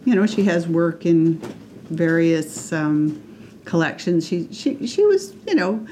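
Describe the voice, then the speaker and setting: A woman's voice: lowish voice, expressive, slow, warm tone, slight background noise. A middle-aged woman from Canada, a guest artist in a casual interview, talking hesitantly with many restarts and fillers. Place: radio studio.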